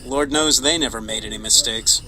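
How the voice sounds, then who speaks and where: Dry, sarcastic engineer in a radio drama, sharp tone. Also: male voice, medium pitch, a little fast, rough recording. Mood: wry. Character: wisecracking male engineer on an air base.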